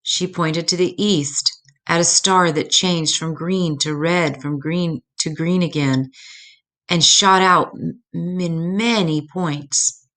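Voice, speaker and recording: Low-voiced older woman reading a storybook. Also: steady tone, takes it slowly, a bit bright. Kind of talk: reading a children's storybook aloud.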